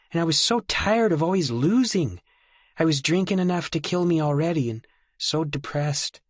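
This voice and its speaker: A man's voice: on the high side, no echo, studio quality, pitched above the performer's own voice, close to an everyday voice. A man voicing a determined young woman starship captain in an audiobook, pleading and resolute. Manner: pleading, then resolute and tender.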